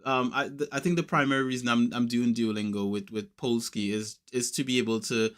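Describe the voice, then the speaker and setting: A man from Trinidad with an English accent, co-hosting a podcast in relaxed, talkative chat with many restarts. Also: mid-range voice, a little fast. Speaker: podcast co-host. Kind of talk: casual chat.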